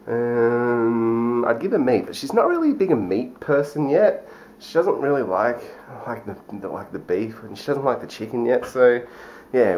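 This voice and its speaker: A young man chatting in a vlog, lively and animated with a sing-song delivery. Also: medium pitch, slight background noise. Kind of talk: casual vlog chat.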